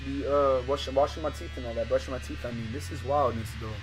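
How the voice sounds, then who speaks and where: A man's voice: mid-range voice, fairly even intonation, fast talker, rough recording. A man livestreaming a game with slangy, profane, exasperated commentary, reading some of the text aloud as he goes. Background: guitar game music.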